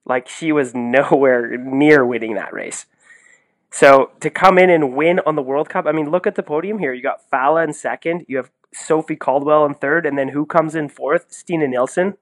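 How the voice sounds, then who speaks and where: Excitable man chatting about sport. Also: a little high, normal speed. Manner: excitable, effusive, slangy. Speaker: sports podcast co-host. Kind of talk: casual sports commentary chat.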